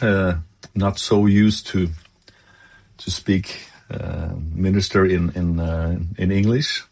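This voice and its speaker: A man from Norway with a Norwegian accent, giving a devotional sermon in English, his second language, pausing often to search for words. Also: low voice, darkish tone. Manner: halting, searching for words, many fillers. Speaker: lay preacher.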